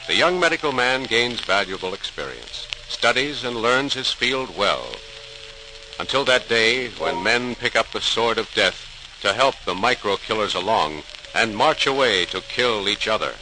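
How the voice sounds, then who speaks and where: Male host, vintage scripted narration, formal, dramatic, animated pitch, noisy old radio recording. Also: normal speed. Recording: noisy, crackly 1940s radio transcription.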